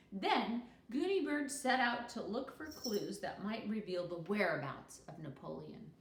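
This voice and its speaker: A woman narrating a children's book aloud in an expressive read-aloud style. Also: medium pitch, far from the mic. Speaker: storybook reader. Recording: roomy echo with faint noise.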